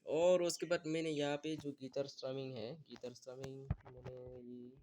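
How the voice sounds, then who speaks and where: A man who is not a native Hindi speaker gives a step-by-step beat-making tutorial, talking in a halting, apologetic way. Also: mid-range voice, little pitch movement, rough recording. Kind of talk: music production tutorial. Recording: beats and instrument clips playing loud.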